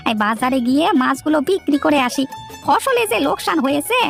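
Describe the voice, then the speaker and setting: A woman's voice: sits high, big pitch swings, fairly quick, gravelly, soft background music, heavily acted. A woman voicing an anxious married village farmer in a children's cartoon, exclaiming and lamenting in homely colloquial speech. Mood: eager. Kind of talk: children's moral-story cartoon dubbing.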